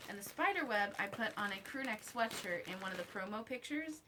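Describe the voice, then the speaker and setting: A woman vlogger talking casually to camera in an unboxing style, slangy and informal, often thinking out loud to herself. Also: medium pitch, expressive, brisk, background noise. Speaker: vlogger reviewing her own merch.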